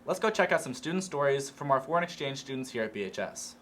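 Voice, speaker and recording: A man's voice: mid-range voice, expressive, fast talker, very noisy. A teenage boy hosting a school news show with casual banter. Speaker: student news anchor.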